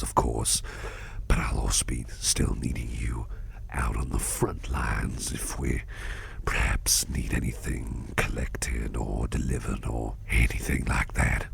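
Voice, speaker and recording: Man acting as a very deep, gravelly, kindly boss. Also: exaggerated voice. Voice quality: very deep, gravelly, breathy half-whisper. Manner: gentle, doting, unhurried, repeats reassurances. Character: kindly, reassuring businessman boss looking after a valued employee. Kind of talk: soft-spoken ASMR roleplay.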